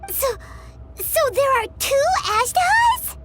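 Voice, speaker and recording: A woman voicing a tiny, excitable, childlike fairy companion with a squeaky voice in a video game. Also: slow pace, a little hiss or hum, full character voice. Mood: confused. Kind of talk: animated video game acting.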